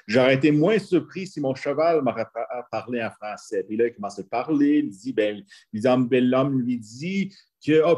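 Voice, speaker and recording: A man's voice: medium pitch, a little mellow, husky. A man from northern Alberta with a Franco-Albertan French accent, an anthropology professor speaking on a video call panel, rambling with many restarts as he tells stories. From northern Alberta, Canada.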